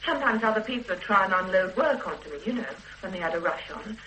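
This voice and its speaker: Prim defensive secretary in an old radio play, lively voice, roomy sound with a little hiss. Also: female voice, mid-range voice, fast talker, distant mic. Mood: guarded. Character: loyal, defensive middle-aged female secretary. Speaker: secretary being questioned. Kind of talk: 1970s-80s radio drama acting.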